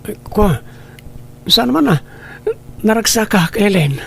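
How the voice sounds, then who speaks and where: A man's voice: high voice, lively intonation, slow, gravelly, fairly bright tone, slight background noise, heavily acted. A man playing an evasive, apologetic married lover, speaking hesitantly and earnestly in radio drama style. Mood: hesitant, uneasy.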